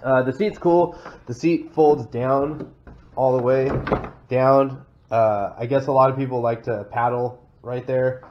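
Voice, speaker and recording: A man's voice: mid-range voice, steady tone, measured pace. Congested Texan man with rambling fillers. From Texas. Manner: rambling, many "uh" fillers and restarts. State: congested from a head cold. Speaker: kayak vlogger. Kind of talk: casual show-and-tell walkthrough. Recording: slightly dull sound with faint noise.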